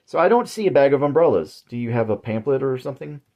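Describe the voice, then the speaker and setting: A man's voice: medium pitch, animated, fast talker, darkish tone, pitched above the performer's own voice, lightly acted. A man voicing a weary, frustrated suburban housewife who talks in a chatty, exasperated way.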